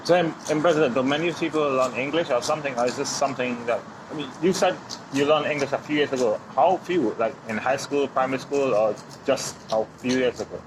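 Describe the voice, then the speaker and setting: Male street interviewer, inquisitive and repetitive, mid pitch, light ambience. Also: noisy background. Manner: curious, repeats words, quick follow-up questions.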